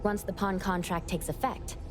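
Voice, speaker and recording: A voice actress playing a composed, analytical young female investigator in a video game, reasoning aloud in a measured, serious way. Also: mid-range voice, steady tone, a little hiss or hum, lightly acted. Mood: explaining. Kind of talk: video game character acting.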